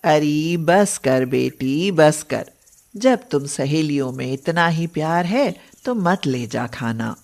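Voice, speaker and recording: A woman's voice: low voice, lively intonation, slow pace, darkish tone, voice pushed down, full character voice. A woman voicing a concerned, plain-spoken motherly older woman in a moral story. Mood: chiding. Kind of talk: acted Hindi moral cartoon story.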